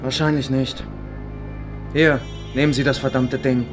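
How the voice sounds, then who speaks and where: Man acting a taunting Soviet officer, medium pitch, smug sarcasm, mock courtesy, hardboiled radio play, music behind. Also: little pitch movement, a little slow, lightly acted. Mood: sneering. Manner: mock-polite, gloating, sarcastic. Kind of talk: German hardboiled radio crime drama acting.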